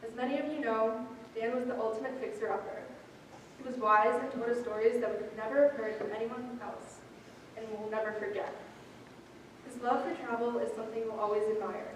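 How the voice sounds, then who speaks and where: A woman's voice: on the high side, fairly even intonation, brisk. A young woman reading a prepared eulogy as a granddaughter at a memorial service. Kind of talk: prepared memorial speech, read aloud. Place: large reverberant hall at a memorial service. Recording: echoey hall, distant mic, noisy.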